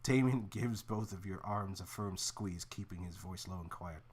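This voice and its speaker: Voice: low-pitched, normal speed, slightly rough. A reader narrating a story game aloud with a friend, full of jokes and stammered asides. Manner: animated, joking, stammering asides.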